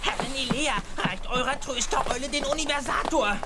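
Actor playing an excitable little magic owl, high-pitched. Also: normal speed, loud music behind the voice, lightly acted. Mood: urgent. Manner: speaks in comic garbled made-up words. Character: small magical comforter owl, excitable, loyal, comic servant. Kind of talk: children's audio drama acting.

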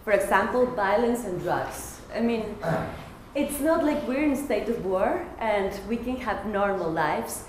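A woman's voice: medium pitch, lively intonation, unhurried. A young woman from Mexico giving an earnest class presentation at a lectern, telling anecdotes with light humor. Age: young adult. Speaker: student presenter. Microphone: far camera. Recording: echoey classroom with hiss.

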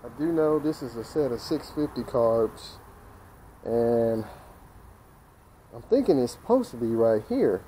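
A man's voice: mid-range voice, lively intonation, measured pace, long pauses, mellow. Man in noisy outdoor audio. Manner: folksy, thinking aloud while working. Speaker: DIY mechanic vlogger. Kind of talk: casual hands-on repair vlog. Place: outdoors on a residential driveway. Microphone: camera mic at changing distance.

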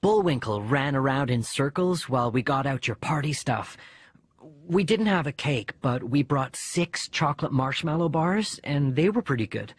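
A man narrates a first-person children's story. His voice is husky and mid-pitched. The recording is dry, with music underneath. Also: expressive, normal speed, no room sound. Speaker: audiobook reader.

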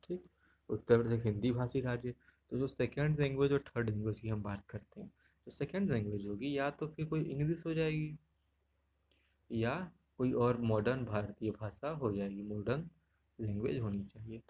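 A man's voice: medium pitch, moderate pace, mellow, a little hiss or hum. A man with a Hindi accent teaching an exam-prep lesson over slides. He explains patiently, repeats his points and checks in with the listener. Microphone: screen recording, unseen speaker.

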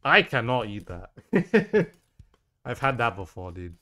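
A man's voice: mid-range voice, very animated, slow, pushed and bright, faint music behind. A man with an English accent chatting casually with his viewers while he plays a game, answering their questions and often calling them "dude". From England. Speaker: game streamer. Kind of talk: casual live-stream chat while gaming.

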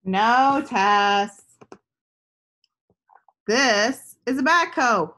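A patient child from a picture book, read out by a woman. The pitch is lifted and lively, and each answer is emphatic and clearly instructive. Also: very slow, full character voice. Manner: emphatic, instructive storybook delivery.

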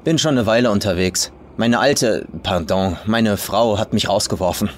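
A man's voice: fairly low pitch, normal speed, slight background noise, lightly acted. A man voicing a guarded hitchhiker, no longer young, who speaks in casual, clipped phrases in an audio horror story. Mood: guarded, curious. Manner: casual, clipped colloquial speech.

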